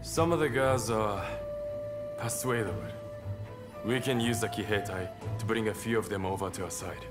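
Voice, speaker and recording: Male actor as sly samurai rebel, lowish voice, sardonic, calculating, game dialogue, clear music behind. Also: moderate pace, lightly acted. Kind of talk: game cutscene acting.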